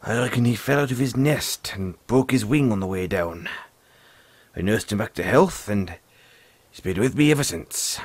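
A man playing a gruff but friendly forest dragon tamer, talking in a folksy way straight to the listener in an audio roleplay. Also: lowish voice, animated, normal speed, no echo. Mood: friendly, explaining. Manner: folksy, teasing, calls the listener lad.

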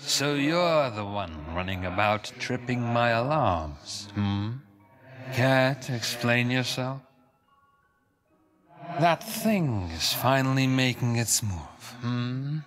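An actor playing a haughty, scornful, scheming duke in a fantasy video game, speaking in a theatrical, measured, condescending way. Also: male voice, medium pitch, expressive, a bit bright, soft background music. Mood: condescending, amused. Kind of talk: dramatic video game character acting.

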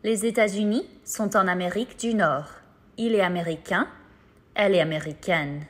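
A woman narrates an instructional language lesson. She speaks in short scripted sentences at a medium pitch, enunciating each carefully. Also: normal speed, husky.

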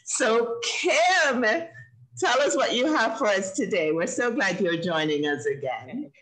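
A woman's voice: on the high side, animated, unhurried, a bit bright, low-quality recording. Bubbly Jamaican woman hosting a kids' show. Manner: bubbly, laughs often. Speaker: talk show host. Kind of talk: lively host chat on a video call. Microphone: video call.

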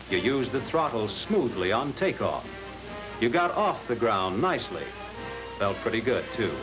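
An American man reading a scripted 1940s instructional film narration, addressing the trainee directly in an instructive manner. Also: mid-range voice. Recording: noisy old 1940s film soundtrack.

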